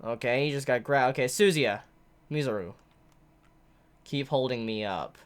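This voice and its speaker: A woman giving a chatty, excitable live manga reaction while congested from a head cold. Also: extremely low pitch, moderate pace, clear, resonant tone. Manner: excitable, chatty, exclaims often.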